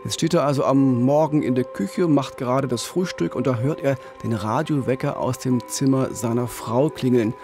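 A man's voice: mid-range voice, normal speed, hardly any pauses, music in the background. A middle-aged man hosting a true-crime podcast, telling the story conversationally into a studio microphone. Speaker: podcast host. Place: radio studio. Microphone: studio microphone up close with pop filter.

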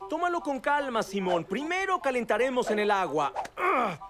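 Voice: medium pitch, big pitch swings, moderate pace, close to an everyday voice. An actor voicing an upbeat grown-up rabbit swimming instructor with a Latin American accent, encouraging and instructing in a cartoon dub. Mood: brisk, cheerful.

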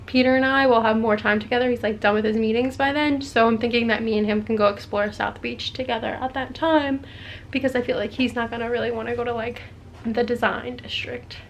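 A woman's voice: on the high side, steady tone, brisk, a little hiss or hum. A young woman in a small hotel room, talking casually to a handheld camera about her day, rambling with lots of filler words. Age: young adult. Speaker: vlogger. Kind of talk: casual travel vlog chat. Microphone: handheld camera at arm's length.

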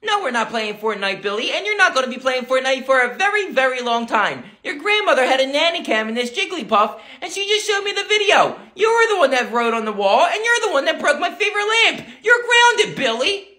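A woman's voice: high-pitched, big pitch swings, brisk, hardly any pauses, sharp tone, exaggerated voice. A woman voicing a strict, exasperated mother in a comedy skit, firm and matter-of-fact. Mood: stern.

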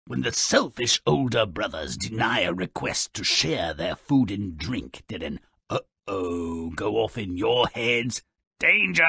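A man voicing a mischievous, cynical dwarf storyteller in a video game, with a raspy, theatrical, sarcastic delivery that mocks fairy tales. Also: mid-range voice, bright, forceful tone, no echo, studio quality, exaggerated voice. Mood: exasperated, mocking. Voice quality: raspy, gravelly. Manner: sarcastic, gleeful, exaggerated delivery. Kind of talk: theatrical sardonic storybook narration.

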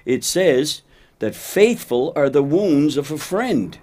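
A man voicing a steady, kindly cow who talks plainly and patiently, gently teaching, in a children's puppet show. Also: on the high side, slow. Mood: gently instructive. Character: steady, faithful cow, a wise kindly friend.

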